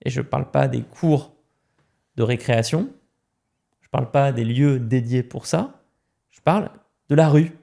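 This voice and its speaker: A man's voice: medium pitch, a little slow, vocal fry, long pauses, warm tone. A man from France, a podcast guest, talking emphatically in casual conversation and repeating words as he starts a point.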